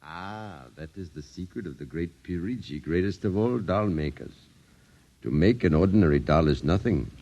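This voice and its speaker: A mysterious old shopkeeper who makes dolls, with an extremely deep, smooth voice. He speaks with theatrical pomp, as if every word were grand. It is a muffled vintage radio drama recording. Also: animated, dark tone. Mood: grandiose. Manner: pompous, slow theatrical delivery. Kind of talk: 1950s radio drama acting. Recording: muffled 1950s radio recording, music behind.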